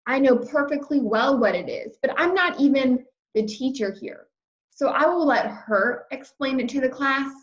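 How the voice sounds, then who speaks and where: A woman voicing an excitable, brash kindergarten girl from a storybook, chatty and emphatic with childish grammar. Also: high voice, moderate pace, echoey room, highs cut off. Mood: bossy.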